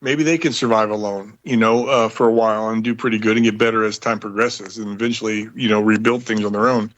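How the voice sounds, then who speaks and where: A man in casual conversation as a show guest, speaking in a halting way. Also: lowish voice, fairly even intonation, fairly bright tone, highs cut off. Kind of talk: casual chat.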